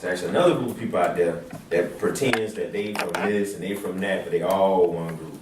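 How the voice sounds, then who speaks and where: A man's voice: low-pitched, fairly quick. A man hosting a casual religious discussion, talking animatedly and pushing his points with emphasis. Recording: echoey room, distant mic, noisy.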